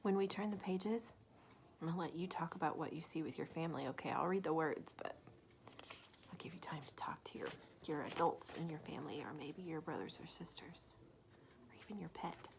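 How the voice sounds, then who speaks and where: A woman reading a story aloud to young children, dropping into soft asides and simple questions for them. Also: low voice, brisk, creaky, noisy background. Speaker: children's story reader, teacher.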